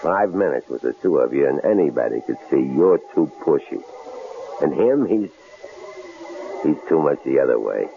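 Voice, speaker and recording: A very low, gravelly voice for a murderous drifter in a 1970s radio thriller. He is domineering and leering, swinging widely in pitch as he taunts and orders people about, heard on a noisy, muffled old broadcast. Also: sing-song, moderate pace, heavily acted. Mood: needling, menacing. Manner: taunting, commanding, drawling folksy slang. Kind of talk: 1970s radio drama acting.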